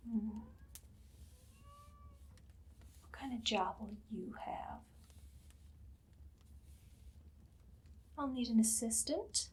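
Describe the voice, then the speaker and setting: Breathy, whispering evil queen, played by a woman. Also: a little high. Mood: condescending. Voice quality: breathy, soft, much of it whispered. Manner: slow, deliberate, condescending, commanding. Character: imperious, menacing evil queen, vain and teasing. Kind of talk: whispered ASMR roleplay.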